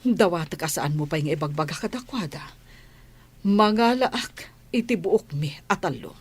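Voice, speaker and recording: A woman as a husky, emotional mother. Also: fairly low pitch, lively intonation, moderate pace. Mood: secretive, uneasy. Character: emotional wealthy mother searching for her true daughter. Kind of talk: Filipino radio drama acting.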